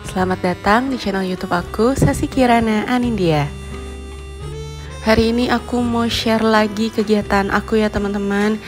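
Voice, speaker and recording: Homemaker vlogger, young woman, nasal and hoarse from a cold, chatty with small laughs, music bed underneath. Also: mid-range voice, fairly even intonation, moderate pace. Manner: chatty, friendly, with little laughs and fillers. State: stuffy nose and hoarse from a cold. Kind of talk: casual voice-over narration. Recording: voice-over with clear background music.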